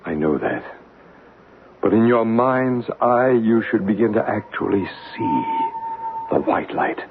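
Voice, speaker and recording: An actor playing a male psychic who guides a séance in slow, hushed tones and narrates the story, in a 1970s radio drama. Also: lowish voice, animated. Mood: soothing. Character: calm, soothing male psychic guiding a séance. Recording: narrow, hissy old radio broadcast.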